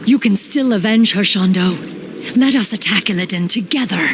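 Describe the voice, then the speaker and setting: A woman's voice: medium pitch, normal speed, noisy background, close to an everyday voice. An actress playing a stern, vengeful female night elf warden in a video game, grave and formally commanding. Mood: urging. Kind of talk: dramatic video game voice acting. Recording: dramatic game score underneath.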